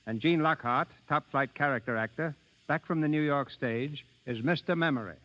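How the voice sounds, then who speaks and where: A man hosting a radio theatre, reading scripted 1930s narration in a formal, measured announcing manner. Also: on the high side. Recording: muffled 1930s radio broadcast.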